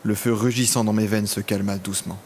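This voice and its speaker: An actor playing a lonely, vengeful imprisoned villain in a scripted audio drama, speaking in brooding, literary monologue. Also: male voice, on the low side, little pitch movement, fairly quick, a little mellow, a little hiss or hum, lightly acted. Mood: haunted, sorrowful. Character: vengeful, lonely imprisoned villain, self-styled son of death.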